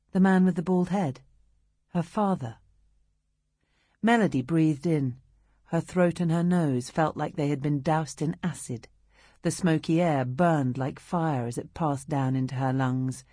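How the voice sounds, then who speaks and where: A woman with a British accent narrates a novel in a low register. Her delivery is measured and expressive, shaped for storytelling, and the sound is dry and free of room echo. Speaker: audiobook narrator. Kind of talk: scripted literary narration.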